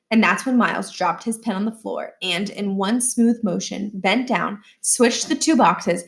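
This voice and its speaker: A woman reads a children's story aloud at a medium pitch. Her narration is expressive, with deliberate pauses that set off short, emphatic phrases. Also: gravelly. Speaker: read-aloud reader.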